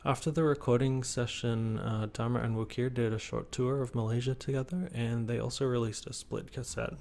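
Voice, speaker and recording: A man's voice: mid-range voice, steady tone, a little fast, a little mellow. A man hosting a podcast and chatting casually, feeling his way hesitantly through what he says. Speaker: podcast host, interviewer. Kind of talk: casual interview chat. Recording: clear traffic and ambient noise behind.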